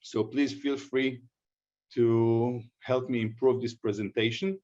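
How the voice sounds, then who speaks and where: A man with an Israeli accent presenting a lecture over a video call, speaking haltingly and restarting his sentences. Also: medium pitch, steady tone, darkish tone, highs cut off. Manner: halting, with restarts and self-corrections. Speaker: guest presenter. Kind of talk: online lecture introduction.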